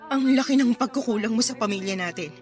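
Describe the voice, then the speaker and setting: Give the radio drama a hurt, angry wife , played by a woman, with a high voice. She is bitter and confrontational, scolding with raw emotion over faint music. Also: fast talker, close to an everyday voice. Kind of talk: Filipino radio drama acting.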